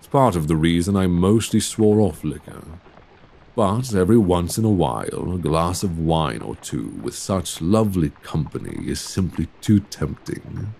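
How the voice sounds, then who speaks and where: A man voices a Victorian gentleman vampire with a very low voice that swings widely in pitch. He speaks slowly and intimately in courteous, old-fashioned phrases, restrained and secretive, as in a romantic ASMR audio drama. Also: exaggerated voice. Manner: formal, genteel period diction with endearments. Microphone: close binaural microphone. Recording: binaural recording with clear background ambience.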